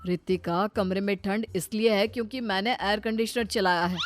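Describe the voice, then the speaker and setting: A woman's voice: mid-range voice, moderate pace, a little hiss or hum, close to an everyday voice. A woman voicing an exasperated young husband in a cartoon story, fussy and incredulous but indulgent. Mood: amused, explaining. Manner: incredulous, fussy, explaining patiently when calm. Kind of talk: Hindi cartoon storybook dialogue.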